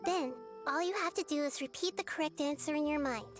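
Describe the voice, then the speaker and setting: A woman voicing a small, excitable, childlike female spirit companion in an anime video game. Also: high-pitched, fairly quick, lightly acted. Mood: explaining. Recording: game music behind.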